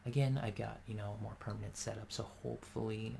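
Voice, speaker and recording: A man rambling in a soft-spoken ASMR style, filling his talk with pauses and fillers. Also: on the low side, steady tone, gravelly, background noise. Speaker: ASMR creator.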